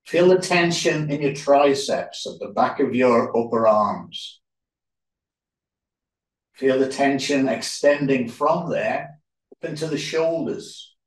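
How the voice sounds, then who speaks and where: A man leading a yoga relaxation as instructor, speaking calmly in short, unhurried phrases with pauses. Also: mid-range voice. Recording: roomy echo, distant microphone.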